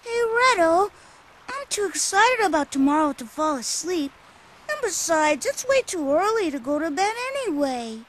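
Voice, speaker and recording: A woman voicing a restless, excitable young child in a video game cutscene. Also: extremely high pitch, moderate pace, full character voice, low-quality recording. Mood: excited, restless.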